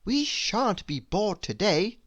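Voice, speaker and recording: A woman voicing an unfailingly polite, gentle male storybook creature with a soft, courteous manner. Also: medium pitch, sing-song, slow pace, no room sound, voice pushed up. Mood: courteous, reassuring.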